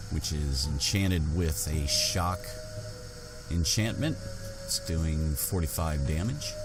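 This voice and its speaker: A man hosting a gaming roleplay playthrough, narrating in an unhurried way with pauses and musing hums. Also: extremely low pitch. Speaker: gaming channel host. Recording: music under the voice. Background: game music.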